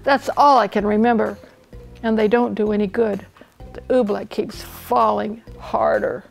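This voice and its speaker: A woman's voice: mid-range voice, fairly even intonation, a little slow, gravelly, faint music behind, poor audio. A woman voicing a proud, imperious old king in a storybook, given to blustering and groaning. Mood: groaning, helpless. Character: proud bellowing old king turned tearful and helpless.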